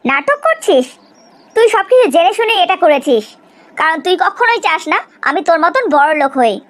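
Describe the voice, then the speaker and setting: A woman's voice: very high-pitched, sing-song, fairly quick. A woman playing a jealous, accusing young woman in a cartoon melodrama, with a confrontational, dramatic manner.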